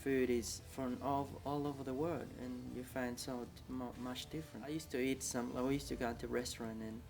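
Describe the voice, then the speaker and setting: A man talking in a casual interview, animated and halting. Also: medium pitch, over music. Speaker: community member.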